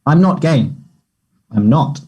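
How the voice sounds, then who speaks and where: A man at a play table read, playing a conflicted, defensive young man full of guilt and distress, with a husky voice. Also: on the high side, animated, takes it slowly, a little mellow, muffled recording, exaggerated voice. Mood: hostile, hurt.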